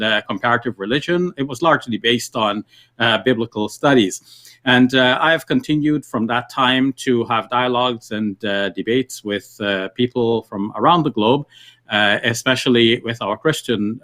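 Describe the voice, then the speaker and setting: Bright-voiced older male scholar. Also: medium pitch. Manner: hesitant, frequent "uh" pauses. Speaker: debate guest. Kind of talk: guest introduction.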